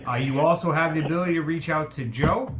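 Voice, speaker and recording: A man's voice: a little high, fast, noisy background. A man hosting a community town hall on a video call, welcoming people and talking with many ums and uhs. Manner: friendly, filler-heavy, welcoming. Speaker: meeting host. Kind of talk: online town hall moderation.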